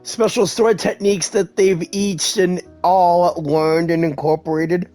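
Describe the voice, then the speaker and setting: A woman's voice: fairly low pitch, fairly even intonation, a little slow, warm tone, faint music behind. A woman streamer giving casual reaction commentary over gameplay, with informal asides at the game. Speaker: gaming streamer.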